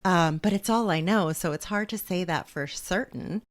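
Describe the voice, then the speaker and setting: Thoughtful woman in her forties. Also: on the low side. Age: 40s. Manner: thoughtful, measured, laughs easily. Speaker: author and guest. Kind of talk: reflective interview conversation.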